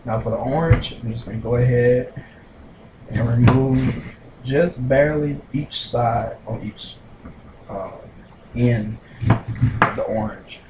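A young man talks through a recipe at his kitchen counter, unhurried and full of little pauses. His voice bounces around the small room, picked up by a camera mic some distance away, with loud steady noise underneath. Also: medium pitch, reverberant. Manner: unhurried, explains step by step with many fillers. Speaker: home cook. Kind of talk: casual cooking demonstration. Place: small home kitchen, indoors.

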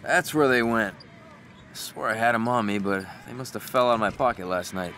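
A man's voice: medium pitch, moderate pace, slight background noise, natural voice. An actor playing a coarse, irritable father who follows sports at home, in a video game. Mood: casual. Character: gruff, hot-tempered father, sports fan at home.

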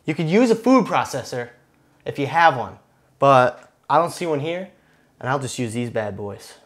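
Casual male cooking host, 30s, jokey and relaxed, slightly high voice, home kitchen. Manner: laid-back, jokey, talks to camera while cooking.

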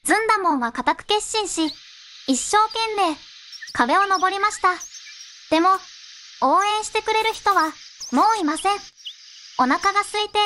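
A young-sounding synthetic woman narrating a fairy tale in measured storybook phrasing. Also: very high-pitched, sharp tone, slight background noise.

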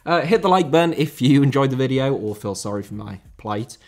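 A man voices a football countdown with dry, wry humour. His voice is nasal from hay fever, and his pitch moves a lot from line to line. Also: lively intonation, brisk. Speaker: football video host. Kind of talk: scripted voice-over narration.

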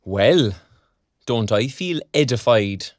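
A wry young Irish graduate. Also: male voice, medium pitch, expressive, takes it slowly. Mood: wry, teasing. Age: young adult. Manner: colloquial, sardonic. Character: young underemployed arts graduate, frank and wry. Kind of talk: naturalistic audio drama dialogue.

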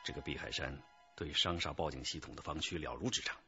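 An actor playing a brisk, decisive male police detective in a radio drama. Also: rumbling low, sharp tone, muffled recording, soft background music, heavily acted. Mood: analytical, surprised.

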